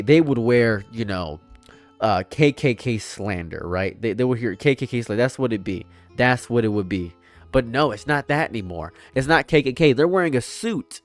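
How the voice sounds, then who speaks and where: A man's voice: fairly high pitch, no room sound. An American man of around thirty giving casual, impassioned political commentary in an emphatic, conversational way, often repeating himself and checking in with the listener. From United States (Detroit and Toledo). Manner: emphatic, conversational, repeats phrases and tags them with "right?".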